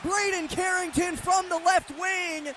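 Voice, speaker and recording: A very high-pitched man delivers live radio sports commentary over clear background noise. He follows the action excitedly and breaks into shouts when the play gets big. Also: measured pace. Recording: clearly noisy live broadcast sound.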